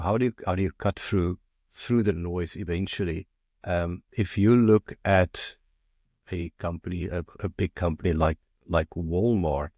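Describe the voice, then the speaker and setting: A man's voice: deep voice, darkish tone, no room sound, studio quality. A man from South Africa with a South African accent, a marketing agency founder talking casually as an interview guest, often repeating and restarting words as he thinks aloud.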